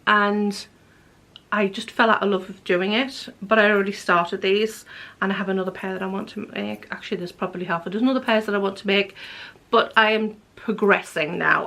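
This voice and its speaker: A woman hosting a knitting podcast in casual, rambling chat, her voice a little hoarse, now and then dropping to a whisper. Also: medium pitch, a bit bright. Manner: chatty, rambling, drops to a whisper at times. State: slightly hoarse. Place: home craft room.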